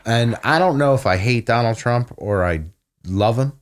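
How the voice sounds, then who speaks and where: A man's voice: mid-range voice, normal speed. A man in casual podcast chat, ranting and swearing heavily. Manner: profane, ranting. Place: home podcast studio.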